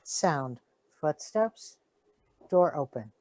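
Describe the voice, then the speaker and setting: A woman's voice: deep voice, expressive, very slow, darkish tone, no echo. A woman reading out sound-effect cues for a radio play in short, announced phrases. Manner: clipped, announced cue words. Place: performed from home on a video call.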